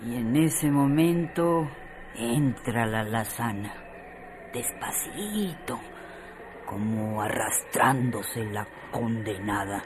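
A man plays a folksy storyteller narrating a radio drama at a very slow, deliberate pace. His delivery is colloquial and wry, with faint noise on the recording. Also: medium pitch, animated. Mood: wry suspense.

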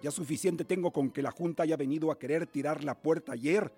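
An actor playing a stern, cautious middle-aged uncle in a radio drama, speaking in a measured, formal, paternal way. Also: male voice, fairly high pitch, darkish tone, breathy edge, soft background music. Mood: cautioning. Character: stern, cautious guardian uncle of colonial Mexico.

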